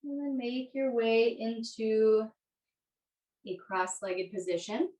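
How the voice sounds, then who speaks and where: A woman teaching a yoga class, giving gentle, unhurried guided instructions. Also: a little high. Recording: echoey room, distant microphone.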